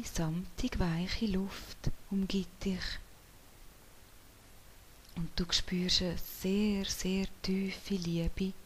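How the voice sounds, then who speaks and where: A woman whispering softly as she leads a guided meditation in a slow, soothing way. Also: medium pitch, slight background noise. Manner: soft whispering.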